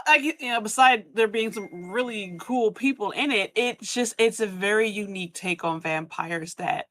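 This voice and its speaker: A woman's voice: medium pitch, fairly bright tone, dry recording. A woman guest chatting casually and enthusiastically, with informal, slangy phrasing. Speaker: podcast guest. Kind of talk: casual chat.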